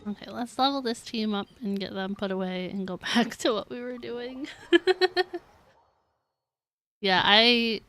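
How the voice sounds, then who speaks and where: A woman's voice: a little high, measured pace, long pauses, bright, forceful tone. A woman chatting casually and playfully on a gaming livestream, giggly and given to exclamations. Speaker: game live streamer.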